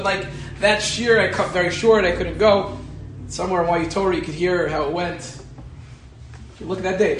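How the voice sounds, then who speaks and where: Animated high-voiced man giving a Torah talk. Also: reverberant, slight background noise, rough recording. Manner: animated, emphatic, repeats key phrases. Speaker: rabbi teaching a Torah class. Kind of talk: Torah lecture with a personal story.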